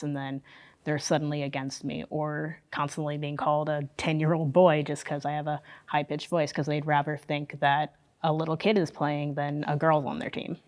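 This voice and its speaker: Low-voiced woman explaining in discussion. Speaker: panel participant, gamer. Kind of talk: conversational discussion.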